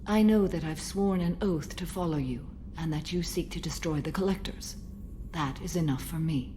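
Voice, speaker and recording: Game role: actress as serene, code-bound ancient female warrior, solemn, formal, low and warm, faint background noise. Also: moderate pace, natural voice. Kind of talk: video game dialogue.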